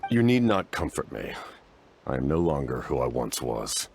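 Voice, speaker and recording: Very deep-voiced, solemn knight-commander. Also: male voice, very animated, darkish tone, husky, heavily acted. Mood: grave. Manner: formal, measured, grave. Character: solemn masked male knight-commander, war-weary and stoic. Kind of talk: fantasy game voice acting.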